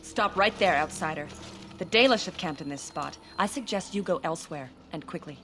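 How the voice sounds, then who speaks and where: Elven forest sentry, slightly low voice, stern, wary, curt, formal, video game dialogue, background ambience. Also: female voice, fairly quick, fairly bright tone, a little hiss or hum. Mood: hostile, wary.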